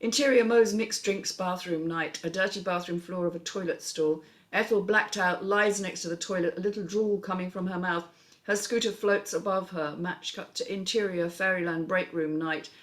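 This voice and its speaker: A woman reads a screenplay aloud as its narrator. Her voice is on the low side, and she delivers scene headings and action lines in a scripted storytelling style. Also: fairly even intonation, moderate pace. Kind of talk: scripted narration, reading stage directions.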